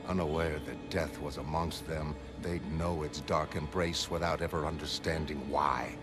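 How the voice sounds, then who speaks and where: Low, grim, clipped vigilante. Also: male voice, moderate pace, music in the background. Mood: brooding, menacing. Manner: clipped, deadpan, drops small words. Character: grim, terse, obsessive male vigilante. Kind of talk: clipped noir narration in a motion comic.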